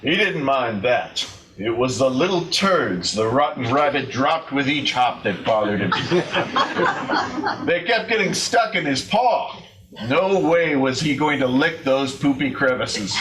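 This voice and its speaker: A man reading a scripted story aloud as a readers theater performer, deliberately and with comic emphasis. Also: medium pitch, big pitch swings, crowd noise, low-quality recording.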